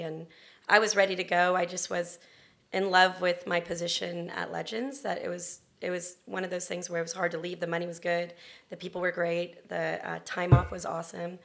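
A middle-aged American woman speaks in a fairly low, gravelly register. She talks as a guest in a casual interview, chatty and pausing to find her words as she tells her story. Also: little pitch movement, a bit bright. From Florida and Texas, then Long Beach, California. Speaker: interview guest, restaurant owner.

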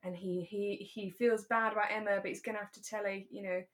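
A woman's voice: medium pitch, level tone, brisk. A woman co-hosting a casual podcast about a radio drama, retelling scenes in a giggly way and mimicking the quotes. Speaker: podcast co-host.

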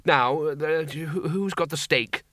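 A man's voice: on the high side, slow pace, sharp tone, no room sound, clean studio sound, voice pushed up. A man voicing a brusque, irritable male head wizard from a storybook, impatient and bossy. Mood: exasperated.

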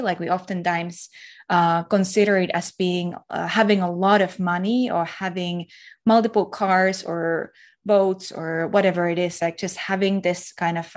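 A woman from Finland, speaking as an interview guest, reflective and pausing often to search for words. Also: lowish voice. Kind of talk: casual spiritual interview talk.

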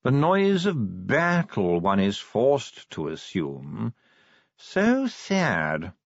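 A man's voice: on the low side, wildly swooping pitch, extremely slow, no echo, muffled recording, exaggerated voice. A man voicing a pompous, proud upper-class museum official with a precise, condescending manner, in an audiobook story.

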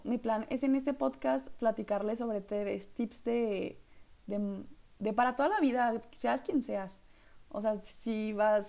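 A woman's voice: a little high, gravelly, warm tone. A young woman with a northern Mexican accent hosting her own podcast, talking in a chatty, rambling way with lots of fillers. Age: young adult. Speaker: podcast host. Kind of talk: casual solo podcast monologue.

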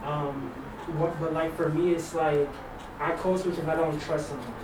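A blunt, candid young man with a somewhat high voice pauses often and restarts with fillers. He sits far from the mic in an echoing room with steady noise behind. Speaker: student in a counseling program. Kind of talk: casual group discussion. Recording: echoey room, distant mic, background noise.

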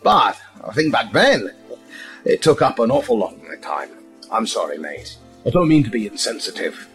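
An actor playing a friendly, musing immortal pub regular in a folksy audio drama manner. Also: male voice, mid-range voice, wildly swooping pitch, moderate pace, a bit bright, soft background music. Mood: musing, wistful.

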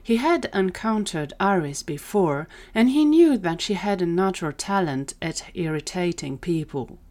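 A woman's voice: lowish voice, lively intonation, moderate pace, darkish tone. A woman narrating a scripted audio drama story as the storyteller.

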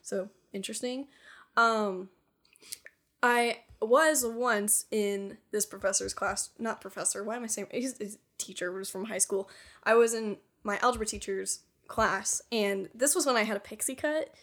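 A woman's voice: mid-range voice, normal speed, fairly bright tone. A young woman co-hosting a podcast, chatting casually with lots of fillers and frequent giggles. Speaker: podcast co-host. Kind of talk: casual chat.

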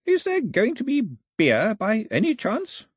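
A man voicing a sulky, snappish islander whose voice jumps well above the reader's own. It sounds husky and dry. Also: sits high, huge pitch swings, a little slow, dry recording, pitched above the performer's own voice, heavily acted. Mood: sour.

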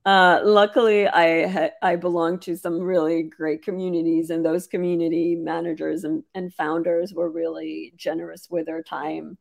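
Hoarse woman founder, low and gravelly, chatty webinar guest full of fillers. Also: level tone, moderate pace, few pauses. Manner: chatty, many "like" fillers and restarts. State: hoarse, losing her voice. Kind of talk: casual webinar conversation.

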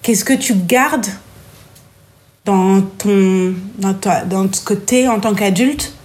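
A woman's voice: medium pitch, slow. Young woman hosting a podcast. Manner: relaxed, conversational questions. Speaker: podcast host interviewing her father. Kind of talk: interview and narration.

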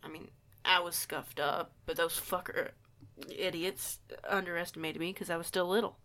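A woman's voice: on the low side, fairly even intonation, normal speed, bright, forceful tone. A woman playing a young werewolf outsider in an audio roleplay, stammering, sweary and emphatic. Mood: defensive, candid. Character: young female werewolf outsider, flustered, foul-mouthed, fiery.

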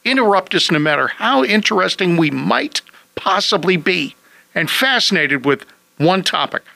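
Man, radio host, bright forceful tone, slightly high voice, joking, casual chat. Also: very animated, moderate pace, gravelly, no echo, poor audio. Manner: joking, wisecracking.

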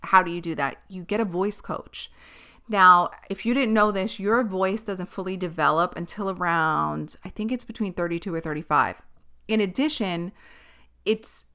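A woman's voice: on the low side, normal speed, sharp tone, clear, resonant tone. A woman in her thirties hosting a podcast, chatting casually and giving her opinions, with many tangents. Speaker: podcast host. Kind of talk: casual chat.

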